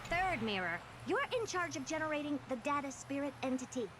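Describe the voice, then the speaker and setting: Haughty genius scholar for a game: high, swooping, imperious delivery. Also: female voice, very animated, brisk, heavy background noise. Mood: commanding. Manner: commanding, theatrical, self-satisfied. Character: haughty, self-assured female genius scholar, imperious and impatient. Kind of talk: video game voice acting.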